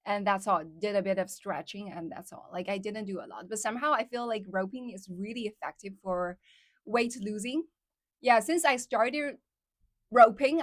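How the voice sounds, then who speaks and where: A woman's voice: mid-range voice, dry recording, clean studio sound. A woman whose first language is Chinese, speaking English with an American Midwest accent as a livestream host, chatty and full of fillers like "like" and "somehow". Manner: chatty, many fillers like "like" and "somehow", laughs often. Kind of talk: casual livestream chat with viewers.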